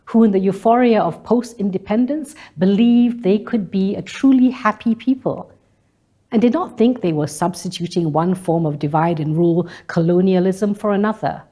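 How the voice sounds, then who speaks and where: Low, dark-voiced woman, deliberate oratory. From Malaysia. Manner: deliberate, rhetorical, with repetition and listing. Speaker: spoken-word speaker. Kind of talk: scripted spoken-word monologue, political speech.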